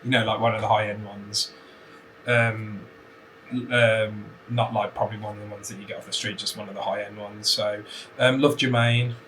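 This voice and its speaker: A man making prank phone calls in a hesitant, rambling, deadpan manner. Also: lowish voice, normal speed. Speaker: prank caller. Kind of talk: casual phone prank chat. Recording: noisy phone prank call recording.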